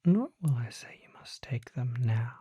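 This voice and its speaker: A performer voicing a mysterious, gentle and solemn vampire apothecary in a breathy, hushed whisper, with formal, deliberate phrasing for an ASMR roleplay. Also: medium pitch, animated, no echo, clean studio sound.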